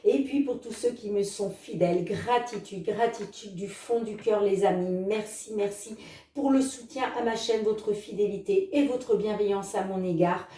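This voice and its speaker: A woman's voice: medium pitch, dark tone, roomy sound, distant mic. A woman reading oracle cards for her viewers in a casual, chatty way, with many filler words. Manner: chatty, fills with "hein" and "les amis"; reads card messages slowly. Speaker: tarot card reader.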